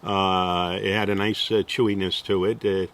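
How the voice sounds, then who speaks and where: Brooklyn man hosting, lowish voice, halting with uh fillers, opinionated. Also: little pitch movement, a bit bright. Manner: hesitant, many uh fillers, opinionated. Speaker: host. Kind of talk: casual interview.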